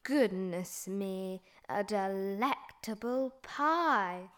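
A woman voicing a gentle, humble male bear in a storybook, soft and sighing. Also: mid-range voice, animated, extremely slow, clean tone, voice pushed down. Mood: grateful.

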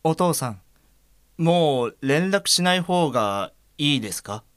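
A man's voice: a little high, lively intonation, a little slow, clean tone, no echo, clean studio sound. An actor playing a thoughtful, perceptive blind man in an audio drama, talking in a reflective, unforced way. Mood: polite, concerned. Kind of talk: Japanese audio drama acting.

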